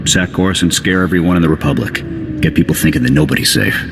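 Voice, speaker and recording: A man's voice: rumbling low, quick pace, noisy background. A man voicing an embittered veteran army sergeant in a video game, blunt and cynical. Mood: reflective. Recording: long reverb, music underneath.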